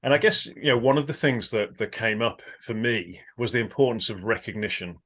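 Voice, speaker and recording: A man hosting a podcast in casual interview chat, a little hoarse today, friendly and prone to tripping over his words. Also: medium pitch, fairly quick, fairly bright tone. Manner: friendly, trips over words, repeats himself. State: slightly hoarse. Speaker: podcast host.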